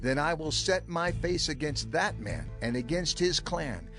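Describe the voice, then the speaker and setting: A man's voice: fairly high pitch, expressive, unhurried, fairly bright tone. A man gives a solemn, emphatic, declamatory reading in a dramatized scripture narration. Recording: music under the voice. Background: music.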